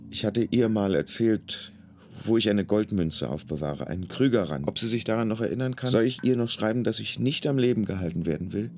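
A man's voice: lowish voice, darkish tone, slight background noise, natural voice. A man playing an anxious, wry hospital patient, telling his story in a dry, musing first-person radio play narration. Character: anxious, wry, hungry male emergency-room patient.

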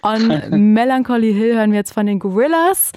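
A woman's voice: fairly high pitch, animated, normal speed, darkish tone, dry recording. A woman hosting a late-night radio call-in show, chatty and friendly, laughing often, on a studio microphone.

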